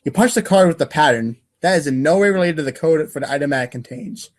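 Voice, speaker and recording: A man's voice: on the high side, animated, quick pace. A man narrating a webcomic readers' theater script in a wry way, now and then tripping over the text. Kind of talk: dramatic reading of webcomic narration.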